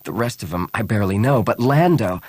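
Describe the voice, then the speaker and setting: A man's voice: lowish voice, lively intonation, brisk, a little mellow, no echo, clean studio sound. A man voicing a roguish, blunt smuggler in an audiobook, with an emphatic, questioning manner. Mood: dismayed. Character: roguish male smuggler, blunt and easily exasperated.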